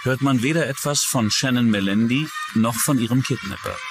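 A man's voice: mid-range voice, normal speed. A man narrating a true-crime documentary in a scripted style. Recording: music under the voice. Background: background music.

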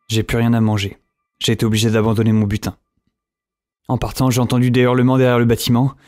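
A man's voice: fairly low pitch, darkish tone, close to an everyday voice. A young male survivor of a zombie apocalypse, acted by a man tells his story in an audio drama. He is lonely and shaken, and speaks in an informal, hesitant way. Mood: weary, anxious. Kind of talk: audio drama acting, first-person narration.

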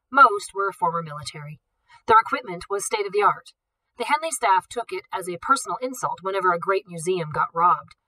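A woman reading a novel aloud, steady and expressive. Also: fairly low pitch, no echo, low-quality recording. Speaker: audiobook reader.

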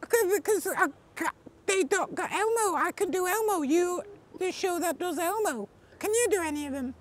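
A man's voice: extremely high pitch, expressive, moderate pace. A man with a British accent putting on a cheeky, childish little boy voice for a comedy prank call. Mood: pleading, playful. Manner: cheeky, giggly, playful. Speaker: prank caller. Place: outdoors on stone steps.

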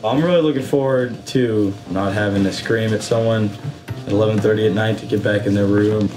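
A young male cadet talks in a measured, reflective way in a documentary interview. His voice sits a little low and rings in an echoey room, with music running underneath. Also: steady tone. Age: young adult. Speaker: battalion commander cadet.

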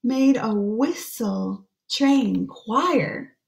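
Female, 30s, children's read-aloud: gentle, very unhurried delivery, voice a touch high. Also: animated, vocal fry. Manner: gentle, explaining pictures between read lines. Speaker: pastor reading a picture book. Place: small room at home.

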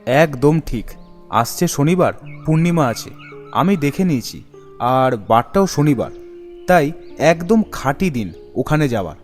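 A man's voice: on the high side, lively intonation, unhurried, long pauses, soft background music. A man voicing an eager young male friend planning spooky adventures in a cartoon ghost story, chatty and enthusiastic. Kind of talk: animated ghost story character voice.

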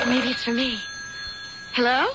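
A woman's voice: high voice, slow pace. An actress playing a sweet, trusting, eager young woman in a 1970s radio drama. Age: young adult. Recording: dull, very noisy 1970s radio broadcast.

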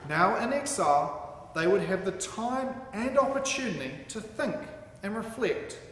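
A man reads a sermon aloud from a script in a measured, declamatory way at a lectern. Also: high voice, expressive, a bit bright. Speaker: sermon reader at a church. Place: large hall, at a lectern in front of a stage. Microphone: microphone on a stand at the lectern, camera a few metres off. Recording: very echoey hall, faint background noise.

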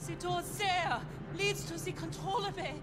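A young German woman in a game cutscene, anxious and pleading. Her very high voice is halting and earnest, German-accented, with loud music behind it. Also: steady tone. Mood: tearful pleading. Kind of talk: video game cutscene acting.

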